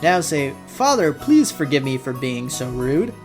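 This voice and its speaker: A man's voice: mid-range voice, very animated, a little slow. A man voicing a cocky middle-aged prankster father who teases and scolds, in an amateur visual novel reading over music. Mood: taunting. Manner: taunting, scolding.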